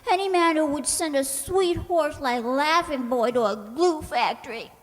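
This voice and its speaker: A woman playing a ditzy, soft-hearted, stubborn young secretary who muddles her words, in old-time radio comedy style. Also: high voice, normal speed, natural voice. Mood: indignant, stubborn. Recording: echoey hall, long reverb.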